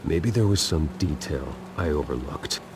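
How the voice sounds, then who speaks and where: A man's voice: very deep voice, lively intonation, normal speed, background noise. An actor playing a brooding, wealthy orphaned vigilante in his thirties, speaking with terse, demanding intensity in a dramatic video game. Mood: troubled, questioning.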